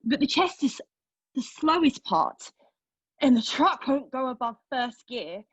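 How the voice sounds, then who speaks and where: An actress playing a haunted young woman in a dramatic stage monologue, recounting a painful memory in a halting, intense way. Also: high voice, expressive, slightly rough, no echo, lo-fi sound, heavily acted. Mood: anxious, oppressed. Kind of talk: dramatic monologue read at a table read of a play.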